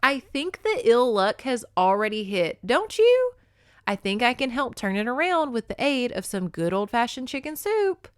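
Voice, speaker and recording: A woman's voice: fairly high pitch, big pitch swings, normal speed, smooth, dry recording, studio quality, pitched above the performer's own voice. A woman doing a feisty, sarcastic young captive.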